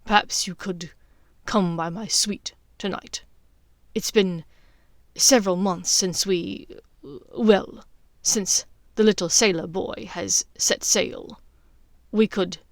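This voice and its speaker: A woman's voice: mid-range voice, steady tone, slow, dry recording, studio quality. A woman voicing a middle-aged aristocratic husband in a storybook, pompous and awkwardly amorous, with drawled innuendo.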